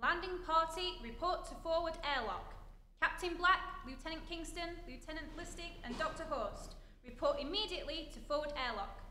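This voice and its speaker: A woman's voice: sits high, moderate pace, bright, forceful tone. A woman playing a formal ship's announcer, calling out clipped official notices in a staged old radio drama. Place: theatre stage in live performance. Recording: echoey hall with faint noise.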